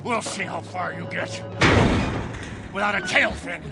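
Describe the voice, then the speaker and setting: An actor playing a stubborn, domineering captain of stranded colonists, speaking in a defiant, declamatory way in a radio drama. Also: male voice, high-pitched, measured pace, creaky, loud music behind the voice. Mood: menacing.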